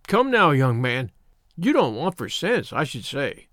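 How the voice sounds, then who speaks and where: A man's voice: mid-range voice, big pitch swings, measured pace, a bit bright, no room sound, studio quality. A man voicing a plain-spoken country shepherd in a story, earnest and cautioning, with rustic phrasing. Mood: stern warning.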